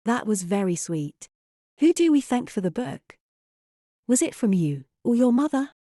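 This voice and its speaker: A woman playing a gentle, composed young English mother, speaking in a measured way in an audio drama. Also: on the high side, sing-song, no room sound, studio quality. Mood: polite, reserved. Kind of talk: audio drama acting, reading aloud.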